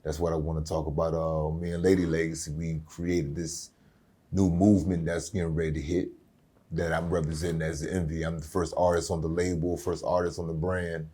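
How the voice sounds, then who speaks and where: A raspy-voiced rapper from Virginia in his thirties, talking casually and with drive as an interview guest. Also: extremely low pitch, fairly quick. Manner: aggressive, energetic delivery.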